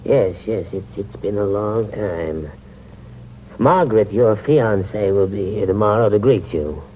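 A slow, low-pitched old man, gentle and wistful, halting his way through formal phrases. It is 1940s radio mystery acting on a muffled old recording. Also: expressive, slight background noise. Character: frail, gentle old uncle, hesitant and wistful.

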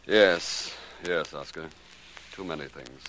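An actor playing a dignified, uneasy astronomer with formal, educated diction in a 1940s radio drama. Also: male voice, very deep voice, slow pace, fairly bright tone, slight background noise, exaggerated voice. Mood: troubled, weary. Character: uneasy, weary male astronomer, dignified and evasive. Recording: reverberant vintage 1940s radio recording.

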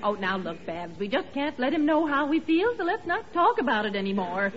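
A woman's voice: sits high, very animated, a little fast. An actress playing a sensible, resigned wife and mother in a 1940s radio sitcom. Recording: muffled 1940s radio recording.

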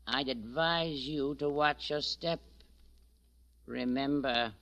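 A man playing a stern, controlling, coldly polite and menacing figure in a 1940s radio drama, speaking deliberately. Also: mid-range voice, sharp tone. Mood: cold menace. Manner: deliberate, admonishing.

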